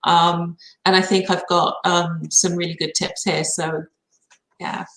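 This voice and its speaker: A woman's voice: fairly low pitch, flat delivery, a little slow, a bit bright. A woman chatting casually as a guest on an online call. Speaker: guest receiving a card reading. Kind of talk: casual chat. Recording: roomy, echoey online call.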